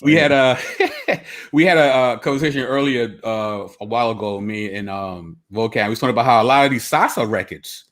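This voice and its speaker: A man from Brooklyn co-hosts a relaxed podcast discussion. He speaks at an ordinary pitch. He reads source passages aloud and often stops to correct himself. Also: a little fast. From United States (Brooklyn). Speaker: podcast co-host.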